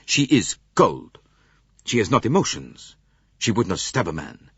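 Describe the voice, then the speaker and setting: A man's voice: lowish voice, sing-song, unhurried, a bit bright, breathy edge, no room sound, heavily acted. A man voicing a blunt, assertive doctor in an audiobook, speaking in short, emphatic, clipped sentences. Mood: dismissive. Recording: muffled lo-fi audiobook recording.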